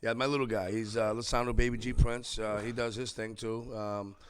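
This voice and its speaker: A man's voice: on the low side, fairly quick, smooth. A middle-aged man, a guest in a casual interview, talking animatedly about his family and repeating himself as he goes. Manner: animated, repeats himself, street-style talk. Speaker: interview guest, rapper and father.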